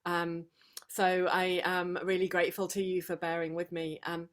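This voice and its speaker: A middle-aged woman, hoarse from long days of talking, giving an earnest reflective talk on a video call with many ums and restarts. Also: on the low side, level tone, moderate pace. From England, Sheffield. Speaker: guest speaker.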